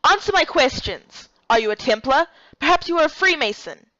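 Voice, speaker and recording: Casting: woman as imperious game fox girl, accusatory, raised high voice, very dry recording. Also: expressive, normal speed, pushed and bright, no echo, dull audio. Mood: angry, demanding. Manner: commanding, accusatory. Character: bossy, suspicious fox girl interrogating an intruder. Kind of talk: amateur game voice acting.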